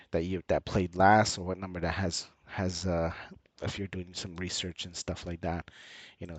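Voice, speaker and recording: A man with a low voice talks through an on-screen tutorial in a casual, hesitant way, often repeating himself. He sounds like he has a slight cold. The recording is close and dry. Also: no room sound. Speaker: channel host and tutorial presenter.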